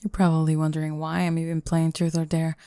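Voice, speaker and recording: A woman's voice: low-pitched, flat delivery, quick pace, warm tone, no echo. A young woman playing a sardonic goth bully girl in an intimate ASMR roleplay, grumbling and teasing the listener up close. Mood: grudging annoyance. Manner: teasing, grumbling, sighing, dropping into breathy murmurs. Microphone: close microphone, dry.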